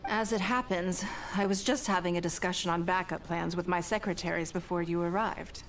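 Woman voicing a calm commanding noble lady, lowish voice, measured and in control, game dialogue. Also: slight background noise, lightly acted. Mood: composed. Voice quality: measured, authoritative. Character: poised, commanding female noble leader. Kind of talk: video game voice acting.

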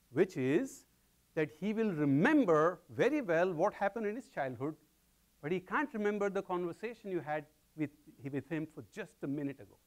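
Animated man lecturing in a hall. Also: on the high side. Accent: Indian. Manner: animated, gesturing while pacing the stage. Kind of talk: public science lecture. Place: large lecture hall with audience.

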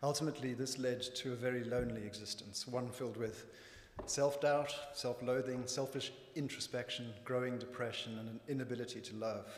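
A middle-aged man with a Zimbabwean accent, reading his personal testimony from notes at a church lectern in a measured, earnest way. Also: medium pitch, steady tone, a little hiss or hum. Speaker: church member giving testimony. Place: church hall with raised stage. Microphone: lectern microphone. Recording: echoey hall from lectern mic.

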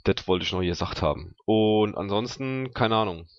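A man's voice: lowish voice, normal speed, dry recording. A man playing a tabletop role-playing game, chatting casually in a blunt, colloquial, rambling way on a slightly dull, dry recording.

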